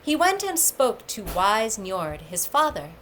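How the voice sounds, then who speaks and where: A woman reading a mythic storybook aloud as a storyteller, in a measured, deliberate manner with emphatic pauses. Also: mid-range voice, big pitch swings, fairly bright tone, slight background noise. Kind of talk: scripted storybook narration of a myth.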